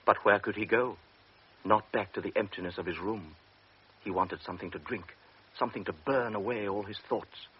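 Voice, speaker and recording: A man narrating an old radio drama in a grave, measured way. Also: on the low side, breathy edge. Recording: dull lo-fi radio broadcast.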